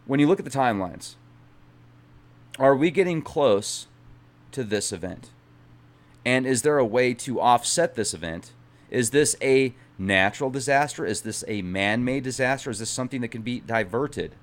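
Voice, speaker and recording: A man's voice: mid-range voice, animated, moderate pace. Man reading a doomsday book dramatically. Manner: dramatic reading that stumbles over words, with chatty self-corrections. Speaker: channel host reading a book aloud. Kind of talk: dramatic reading with casual asides.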